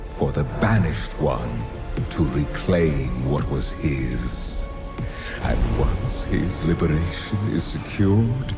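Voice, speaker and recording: An actor playing a haughty, cruel aristocratic villain lord in a game cinematic, speaking in a pompous, theatrical, grandiloquent way. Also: male voice, very deep voice, expressive, slow pace, exaggerated voice. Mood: gloating, grandiose. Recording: game cinematic audio with music behind. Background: clear cinematic music.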